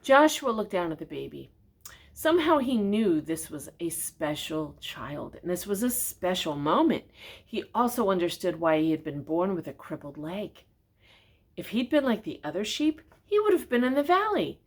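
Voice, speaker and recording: An older woman reading a children's storybook aloud in a gentle, expressive way, often speaking directly to her young listeners with questions. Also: medium pitch.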